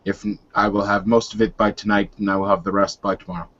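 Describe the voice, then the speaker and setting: A man playing an earnest, courteous werewolf suitor in a tabletop game, with formal, deliberate and slightly halting speech. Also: fairly low pitch, flat delivery, fairly bright tone, muffled recording.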